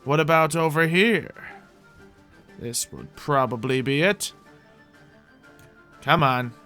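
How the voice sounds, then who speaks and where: A man's voice: a little high, takes it slowly, sharp tone. A man hosting a gameplay video with casual, rambling roleplay commentary, often repeating his own phrases as he talks to viewers. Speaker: host of a Minecraft roleplay video. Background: music.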